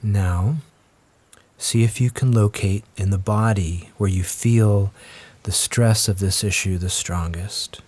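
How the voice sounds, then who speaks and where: A man leads a guided meditation as the teacher, speaking gently and in a measured way with long pauses. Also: lowish voice, steady tone. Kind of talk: guided meditation, slow scripted instruction.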